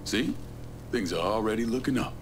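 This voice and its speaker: A man acts a stoic young crime boss for a game drama. The voice is deep and the delivery terse and low-key, grim and unshowy. Music plays clearly behind him. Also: lively intonation, normal speed, natural voice. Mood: calm, persuasive.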